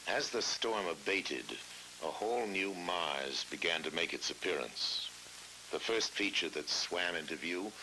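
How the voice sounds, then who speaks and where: A middle-aged man narrating a scripted science documentary in a measured, dramatic way, with weighty pauses. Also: low-pitched, sharp tone, low-quality recording. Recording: hissy old film soundtrack.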